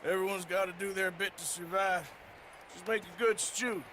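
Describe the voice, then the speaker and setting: An actor playing a gruff, sardonic outlaw cowboy with a dry way of talking in a video game cutscene. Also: male voice, high voice, steady tone, normal speed, noisy background, close to an everyday voice. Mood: weary. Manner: dry, sarcastic.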